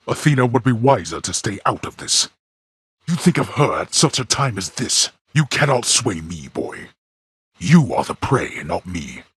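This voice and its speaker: An actor playing a grim, imperious god of the dead and stern father in a video game, with a husky, gravelly voice and formal, archaic, menacing delivery. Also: mid-range voice, a bit bright, no echo, clean studio sound, exaggerated voice. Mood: furious, commanding. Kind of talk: theatrical video game villain acting.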